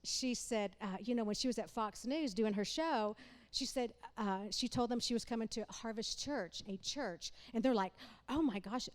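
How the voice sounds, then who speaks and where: Woman emcee, high clear voice, playful and upbeat. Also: moderate pace. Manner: enthusiastic, playful. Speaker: event host introducing the speaker. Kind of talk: stage introduction.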